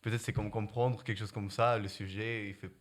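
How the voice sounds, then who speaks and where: Young man, Spanish-accented, lower voice, halting casual answers with restarts. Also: little pitch movement. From Guatemala. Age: young adult. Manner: halting, many restarts, searches for words. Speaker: prep-school student.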